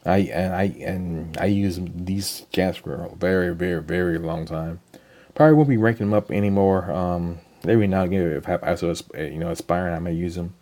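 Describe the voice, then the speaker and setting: A young man speaks in a deep, mellow voice, a little hoarse from a cold. He rambles casually through a gameplay walkthrough, stumbling over repeated words and filling pauses with ums. The tone stays relaxed and low-key throughout. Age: young adult. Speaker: gaming channel host. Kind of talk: casual game account walkthrough.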